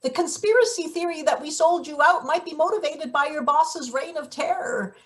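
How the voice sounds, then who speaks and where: A woman's voice: high-pitched, lightly acted. A woman playing a hot-tempered, scheming maintenance worker in a play reading, sardonic and placating by turns. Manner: sardonic, placating, quick to snipe. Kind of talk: live table read of satirical stage play.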